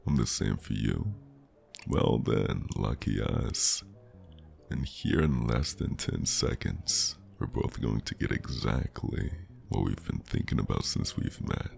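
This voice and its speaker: Very deep, gravelly flirty man, voice-acted. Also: animated, slow, darkish tone, faint music behind, exaggerated voice. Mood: tender, eager. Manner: smooth-talking, teasing, lingering delivery. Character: flirty charming male stranger at New Year's party. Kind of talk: scripted ASMR roleplay, intimate and teasing.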